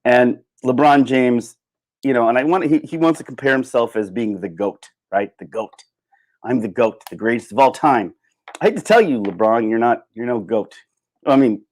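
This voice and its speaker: A man giving a casual, opinionated livestream rant, sardonic and emphatic, with halting starts and repeated phrases. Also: medium pitch, animated. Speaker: livestream host.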